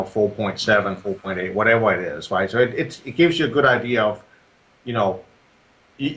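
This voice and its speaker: Danish-accented man explaining his software. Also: on the low side. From Denmark. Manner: steady explaining, many ums and restarts. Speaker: software creator, guest. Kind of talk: casual interview explanation.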